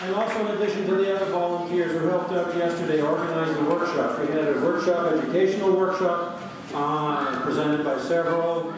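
An older man acting as master of ceremonies at a church banquet, thanking volunteers in a halting way with many fillers, on a handheld mic at a lectern in a large hall. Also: a little high, fairly even intonation. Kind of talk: event announcements. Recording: echoey hall from far off, crowd. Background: crowd of banquet guests.

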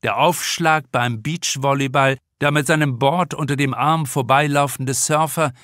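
A man narrating a comic crime novel with deliberate, expressive storytelling in a studio. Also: medium pitch, no room sound. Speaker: author reading his audiobook.

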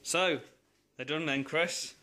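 A man's voice: a little high, takes it slowly, bright, forceful tone. A young man with a Midlands English accent, chatting playfully while cooking at home. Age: young adult. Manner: playful, bursts into laughter. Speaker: cooking vlog co-host. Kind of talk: casual jokey cooking chat. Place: small home kitchen. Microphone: camera mic on the counter. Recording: noisy home video.

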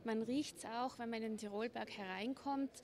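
A woman answering an interview in an indoor lounge, enthusiastic and pausing on fillers. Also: on the high side, darkish tone. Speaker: tourism representative. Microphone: handheld mic.

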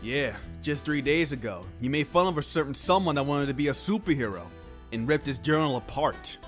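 A man's voice: a little high, animated, brisk, faint music behind, natural voice. A man voicing a bookish, earnest teenage boy in a comic dub cartoon, wordy and a bit preachy. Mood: indignant.

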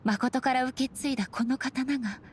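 A woman's voice: high voice, fairly even intonation, moderate pace, slight background noise, lightly acted. An actress playing a resolute, reflective female deity and swordswoman in a Japanese game, with polite, formal, earnest speech. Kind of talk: Japanese anime game acting. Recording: faint game music behind the voice.

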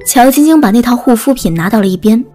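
High-voiced woman narrating an audiobook. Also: expressive, fast talker, warm tone, soft background music. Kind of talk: scripted audiobook narration with character dialogue.

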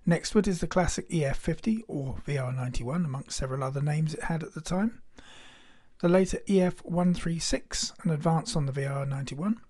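Man narrating in a slightly high voice. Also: fairly quick, dark tone. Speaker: narrator and electronics hobbyist. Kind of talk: scripted narration of a radio-building demonstration.

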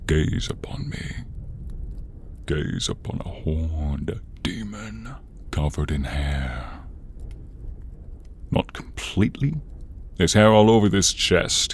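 A man playing a tall horned demon in an ASMR roleplay, breathy and gravelly, menacing and theatrical with a comic edge. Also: low voice, a little hiss or hum. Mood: commanding, menacing. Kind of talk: ASMR roleplay, slow dramatic delivery.

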